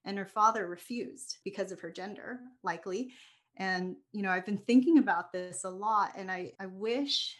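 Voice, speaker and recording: A woman's voice: mid-range voice, normal speed. An American woman hosting a podcast, telling a personal family story in a reflective, earnest way with light laughs and restarted words. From United States. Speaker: podcast host.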